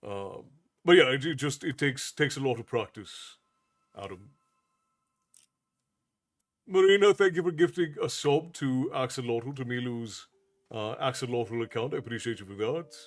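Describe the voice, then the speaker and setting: A man's voice: mid-range voice, animated, moderate pace, long pauses. A man in his forties from Ireland with an Americanized Southern Irish accent, chatting casually on a livestream and thanking viewers by name. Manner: folksy, thanks chat by name, calls viewers "love". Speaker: streamer and storyteller host.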